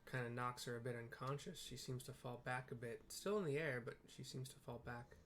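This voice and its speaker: A man's voice: medium pitch, fairly quick, fairly bright tone, soft background music. A man playing a video game and casually describing what happens on screen for listeners, with loose, hesitant phrasing. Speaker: player narrating a game. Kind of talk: casual live audio description of gameplay.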